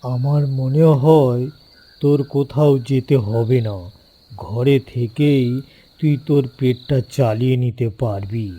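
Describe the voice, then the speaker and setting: Man as worried older village dad for cartoon: deeply mellow, patient, advising. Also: slow pace. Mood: concerned advice.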